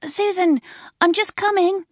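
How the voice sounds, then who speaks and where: An eager schoolgirl in her early teens, acted by a woman narrator, pitched well up from the reader's low register. She gushes in old-fashioned slang in a storybook, recorded dry. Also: a little slow, no room sound. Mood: excited. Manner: exclaiming, gushing schoolgirl slang.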